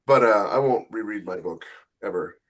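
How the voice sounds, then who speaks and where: A man's voice: on the low side, expressive, moderate pace. Casual, wry male author co-hosting a podcast. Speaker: novelist and podcast co-host.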